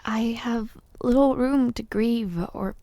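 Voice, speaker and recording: A woman performs a royal mother in an intimate audio drama, wistful and gently teasing. Her voice is low-lit and mellow, with slow, measured phrasing that often softens toward a whisper. The sound is very dry, with no room around it. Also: gravelly, no echo. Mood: grieving, hopeful. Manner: measured, soft-spoken, often dropping to a whisper. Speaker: voice actor in audio roleplay. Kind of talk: intimate audio roleplay acting.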